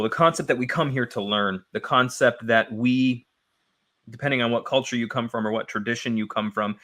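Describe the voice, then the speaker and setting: A man's voice: mid-range voice, a bit bright. A man co-hosting a reflective spiritual discussion, his voice a little scratchy and sniffly, thoughtfully repeating key phrases as he builds his ideas. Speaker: co-host.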